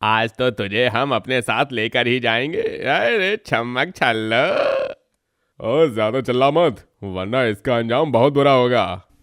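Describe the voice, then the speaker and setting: An actor playing a threatening, leering street goon in a cartoon story. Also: male voice, mid-range voice, takes it slowly, a bit bright, no room sound, clean studio sound. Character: menacing male village street thug.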